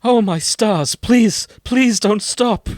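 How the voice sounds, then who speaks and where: A man with a very deep voice reading the lines of a smitten young woman in a comedic fanfic reading. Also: slow, darkish tone, no echo. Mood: breathless.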